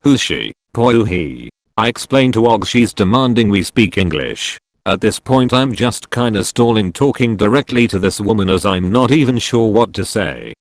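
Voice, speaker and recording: A man reads an online story aloud in an animated way, his low voice moving up and down a lot. The sound is dry and close, with no room around it. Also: moderate pace, few pauses, no echo. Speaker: story narrator. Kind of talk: reading online stories aloud.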